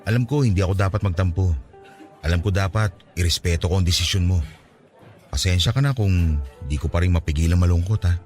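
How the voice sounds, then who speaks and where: A man's voice: fairly low pitch, sing-song, fairly quick, darkish tone, soft background music. An actor with a Filipino accent playing an earnest, lovestruck young man pleading his case in a radio drama. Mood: disappointed, accepting.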